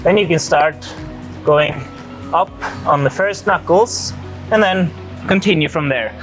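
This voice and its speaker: A man coaching a handstand session, calm and encouraging, explaining each step plainly. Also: on the high side, measured pace, soft background music.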